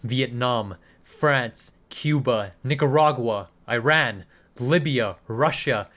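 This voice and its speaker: A man's voice: mid-range voice, slow pace, fairly bright tone. Man acting a scornful, menacing interrogating agent. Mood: contemptuous, menacing. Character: hard, contemptuous male government agent interrogating an informant. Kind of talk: radio play acting.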